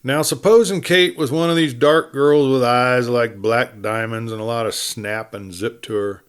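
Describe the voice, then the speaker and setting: A man's voice: mid-range voice, fairly even intonation, moderate pace, clear, resonant tone, close to an everyday voice. A man voicing an opinionated, tough cowboy in a storybook western, lecturing in a folksy American Western drawl. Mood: pitying, preachy.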